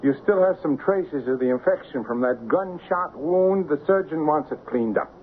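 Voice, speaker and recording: An actor playing a chatty, coaxing hospital doctor with a breezy manner in an old-time radio drama. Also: male voice, on the high side, expressive, moderate pace, close to an everyday voice. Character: chatty male hospital doctor, falsely friendly. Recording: tinny, band-limited, noisy old radio recording.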